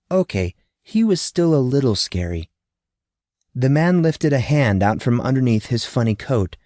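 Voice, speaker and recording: A man's voice: mid-range voice, darkish tone, no room sound, studio quality. A man narrating scripted fiction expressively, in a storybook manner that dramatizes a child's thoughts.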